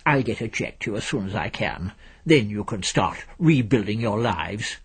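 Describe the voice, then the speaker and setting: A man reads a businesslike male official in a storybook. He is brisk and casual, very matter-of-fact, with a husky tone. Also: fairly low pitch, expressive, pitched below the performer's own voice, full character voice.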